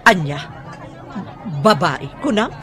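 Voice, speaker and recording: An exasperated mother nags in a bright, forceful voice with big swings in pitch. Her accent is northern Philippine and the style is radio drama, with some crowd murmur behind her. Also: sing-song, slow, slight background noise, heavily acted. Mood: surprised, defensive. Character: exasperated, nagging mother of a student son.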